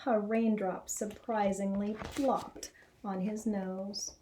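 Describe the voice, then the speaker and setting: A middle-aged woman reading a children's story aloud in an expressive, measured storytelling manner. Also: mid-range voice, a little hiss or hum, poor audio. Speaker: storybook reader. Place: small indoor classroom or office.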